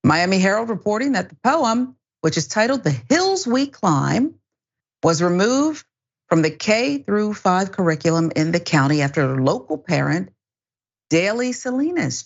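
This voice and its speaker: A woman with an American accent hosting a news discussion, speaking with emphatic, deliberate phrasing. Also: on the low side, big pitch swings, vocal fry. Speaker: news show host. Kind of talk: news presenting and panel discussion. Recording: dull, band-limited broadcast audio.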